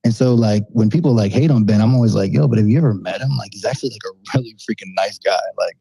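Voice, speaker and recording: A man with a husky, very dark voice talks in eager bursts, peppering everything with "you know what I mean." The recording is dry and close, with a lowish pitch. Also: quick pace, dry recording, poor audio. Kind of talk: casual podcast chat.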